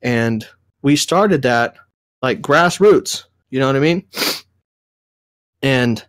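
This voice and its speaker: A man's voice: medium pitch, animated, slow, clear, resonant tone, no room sound. Young man, nasal from a cold. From Southern United States. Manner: rambling, self-correcting storytelling. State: recovering from a cold, a bit nasal. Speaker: podcast co-host. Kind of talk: casual chat.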